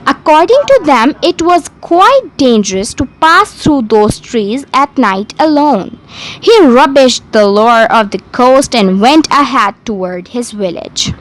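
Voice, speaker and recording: Slow, high-pitched woman storyteller. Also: animated, low-quality recording. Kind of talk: scripted bedtime story narration.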